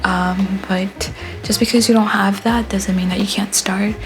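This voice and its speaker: Soft-spoken young woman. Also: medium pitch, steady tone, unhurried, soft background music. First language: Haitian Creole. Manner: soft-spoken, rambling, frequent um fillers. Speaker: art vlogger. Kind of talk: voice-over vlog narration.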